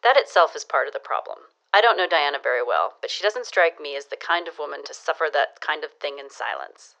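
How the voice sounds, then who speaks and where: Gossipy woman, deep female register, arch, sly, teasing, period radio-play dialogue. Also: expressive, fairly quick, creaky, fairly bright tone, exaggerated voice. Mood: confiding. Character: gossipy, sly woman, dishing neighborhood romance news. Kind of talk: period audio drama dialogue.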